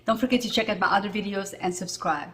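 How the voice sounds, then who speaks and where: A woman's voice: medium pitch, quick pace, fairly bright tone. A woman in her forties from Morocco, talking casually to camera as a vlogger, with a lot of filler words. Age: 40s. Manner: chatty, with frequent fillers.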